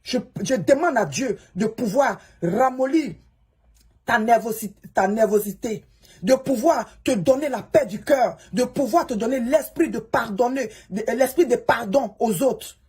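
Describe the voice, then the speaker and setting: Husky-voiced woman preaching peace. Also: on the high side, lively intonation, unhurried, darkish tone. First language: English. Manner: pleading and preachy, repeats the listener's name. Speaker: social media coach. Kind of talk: advice monologue to a fellow creator.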